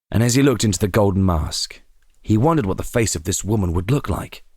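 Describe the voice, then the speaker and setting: Deep, husky male storyteller, dry sound. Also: no room sound. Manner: measured, suspenseful storytelling. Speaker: narrator. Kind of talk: dramatic scripted audio drama narration.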